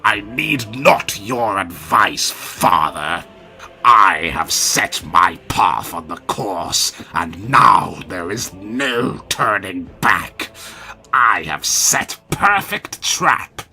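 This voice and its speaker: Mad storybook prince, obsessed with revenge, shouting, very drawn-out delivery, music behind. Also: male voice, deep voice, very animated, gravelly, exaggerated voice. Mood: defiant, mad. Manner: melodramatic, drawn-out raving.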